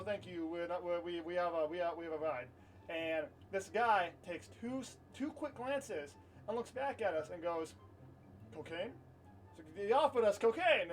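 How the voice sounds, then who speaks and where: High-voiced man telling a casual story. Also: recorded from a distance, poor audio. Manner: informal, swearing, rambling with repeated phrases. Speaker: vlogger telling a personal story. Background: light music.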